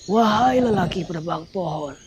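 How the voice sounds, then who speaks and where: A woman voicing a calm, wise old grandfather who gives slow, solemn warnings. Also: on the low side, noisy background, close to an everyday voice.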